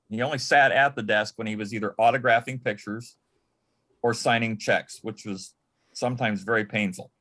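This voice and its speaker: A man telling anecdotes as a guest on a panel, in a halting way, often repeating words and restarting his sentences. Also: mid-range voice, sharp tone. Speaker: panel guest, former personal assistant to an entertainer. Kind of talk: anecdotal storytelling in a panel interview.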